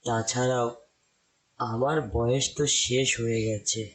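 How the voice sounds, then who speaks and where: Man playing a slow-talking old lion, pleading. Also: mid-range voice, little pitch movement, breathy edge, poor audio. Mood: feigned remorse. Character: old lion pleading for forgiveness, feigning frailty. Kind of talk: cartoon voice acting.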